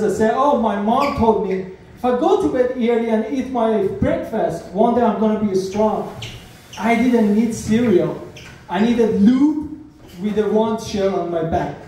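A young man from Iran with a Persian accent, performing an animated stand-up comedy set on a small club stage, speaking into a handheld mic in slightly broken English. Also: high-pitched, slight background noise. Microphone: handheld mic, heard from a far camera. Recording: echoey club sound from far off.

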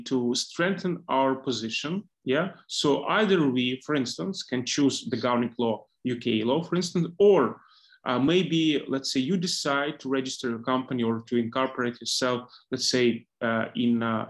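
Halting man from Ukraine asking questions. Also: mid-range voice. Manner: halting, searching questions with many fillers. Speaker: interviewer. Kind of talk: video call interview.

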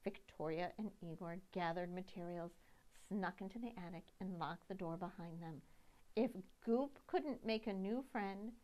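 A middle-aged woman reading a picture book aloud to children, with dramatic, deliberate phrasing. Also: fairly low pitch, darkish tone, poor audio. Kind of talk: expressive storytime read-aloud.

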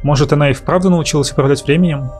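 A man's voice: a little high, steady tone, rapid-fire, a little mellow, faint music behind. A man giving a casual scripted voice-over review as the host.

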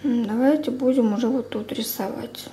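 High-pitched young woman, casual vlogger. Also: mellow. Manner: unhurried, informal, thinking aloud. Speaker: beauty vlogger. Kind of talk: casual product review chat. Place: indoors at a table at home. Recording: clear background room noise.

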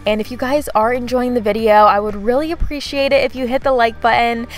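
A woman's voice: fairly high pitch, steady tone, brisk. A woman vlogger chatting casually in a voice-over about her kitchen, with frequent "like" fillers. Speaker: home vlogger.

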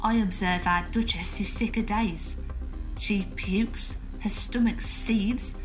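A woman playing a sly, sharp-tongued schemer in a Jacobean audio drama, with sardonic, deliberate delivery. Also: medium pitch, steady tone, over music, lightly acted, poor audio.

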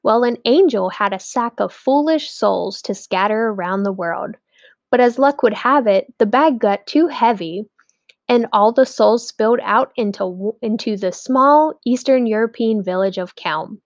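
A woman's voice: a little high, unhurried, no room sound. A woman reading a children's story aloud as a storyteller, in scripted narration.

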